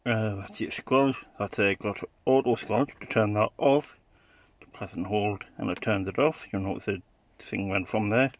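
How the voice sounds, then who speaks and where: A man's voice: fairly low pitch, moderate pace, a little hiss or hum. A man giving an informal, unscripted gadget demonstration in a hesitant, matter-of-fact way. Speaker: hobbyist product demonstrator.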